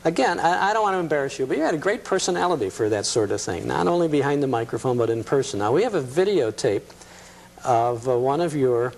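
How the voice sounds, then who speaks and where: A man's voice: medium pitch, lively intonation, quick pace, gravelly, low-quality recording. A man hosting a casual studio talk show interview, speaking in a hesitant way with repeated words. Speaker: television talk show host. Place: television studio.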